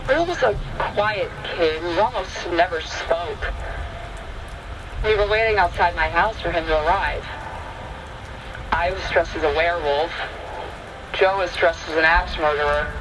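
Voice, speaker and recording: A man's voice: sits high, a little slow, long pauses, noisy background. A man narrating a scripted first-person horror story. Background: rain and thunder ambience.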